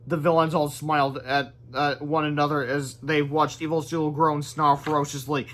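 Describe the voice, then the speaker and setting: A man reading a storybook aloud in an animated way, with exclaimed sound effects and off-script asides. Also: a little high, normal speed. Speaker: read-aloud presenter. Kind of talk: expressive storybook read-aloud with ad-libbed asides.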